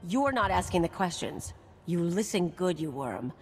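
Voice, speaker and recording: Low, cynical, brash mercenary woman. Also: sing-song, moderate pace. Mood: cold, irritated. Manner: curt, dismissive, sardonic. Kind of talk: video game voice acting. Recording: long reverb, music behind.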